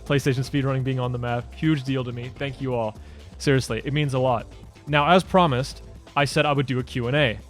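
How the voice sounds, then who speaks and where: A man's voice: medium pitch, fairly even intonation, a little fast, faint music behind. A man in his twenties from Denmark, answering fan questions in a loose, rambling way with many fillers and restarts. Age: 20s. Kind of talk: casual Q&A vlog.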